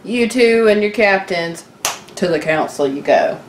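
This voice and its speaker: A woman with a mid-pitched voice talks off the cuff like a podcast co-host. Her delivery is informal and wry, with joking asides. The room rings with echo and there is faint noise behind her. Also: animated, unhurried. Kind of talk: casual unscripted chat about TV. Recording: echoey room, background noise.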